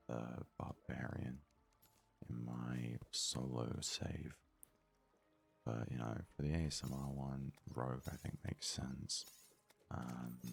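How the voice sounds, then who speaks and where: Very deep, gravelly man doing soft ASMR. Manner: soft-spoken, low-key, unhurried musing. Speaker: gaming video host. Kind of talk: ASMR let's play commentary.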